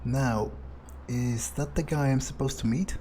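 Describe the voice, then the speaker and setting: A man's voice: mid-range voice, fairly even intonation, measured pace, warm tone, a little hiss or hum. A man giving in-character commentary on a video game, thinking aloud in a cocksure, sweary way. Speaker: gamer playing in character. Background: faint game music.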